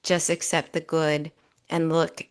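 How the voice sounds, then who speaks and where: A middle-aged woman co-hosting a podcast, speaking in an earnest, emphatic, conversational way with deliberate phrasing. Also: deep voice, little pitch movement, clean tone. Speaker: podcast co-host. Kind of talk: conversational commentary.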